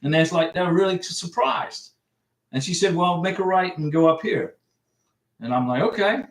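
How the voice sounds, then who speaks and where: A man's voice: high voice, animated, normal speed, roomy sound. A man hosting a livestream, chatting casually and rambling as he reads viewer comments and answers them. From United States. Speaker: livestream host giving visa advice. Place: home room.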